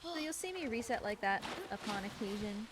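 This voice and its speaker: A woman's voice: mid-range voice, lively intonation, brisk. A woman giving live gameplay commentary as a speedrunner, with playful, coaxing asides to a game character. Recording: clear background game noise.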